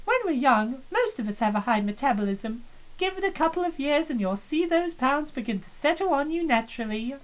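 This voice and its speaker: A woman's voice: sits high, expressive, fairly quick. A woman voicing a confident, cheerful young woman in a fan dub of a visual novel, with a self-assured, breezy delivery.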